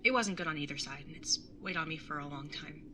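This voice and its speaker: A woman's voice: low voice, quick pace, noisy background, lightly acted. A woman playing a wry, jaded young artist bartender in an audio drama, sardonic and casually sweary as narrator and older sibling. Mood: regretful, candid. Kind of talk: first-person audio drama narration and dialogue.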